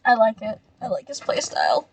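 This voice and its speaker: A woman's voice: a little high, wildly swooping pitch, fairly quick, gravelly, no echo. A woman hosting a gaming video with casual, slangy commentary and some swearing.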